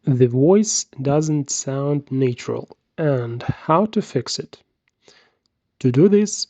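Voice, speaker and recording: Male tutorial narrator, unhurried and soft-edged, measured instruction, dry and slightly dull recording. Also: mid-range voice, no echo. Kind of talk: scripted software tutorial narration.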